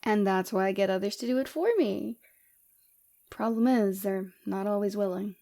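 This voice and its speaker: A woman playing a flirtatious, forward rebel villainess with a secretly caring side, in a soft, teasing, intimate ASMR roleplay. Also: mid-range voice, moderate pace, vocal fry, lightly acted. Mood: tender, concerned.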